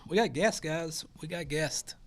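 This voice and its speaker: A man's voice: fairly high pitch, a little slow. Folksy male talk show host. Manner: rambling, folksy, many fillers and restarts. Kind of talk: casual news chat and interview.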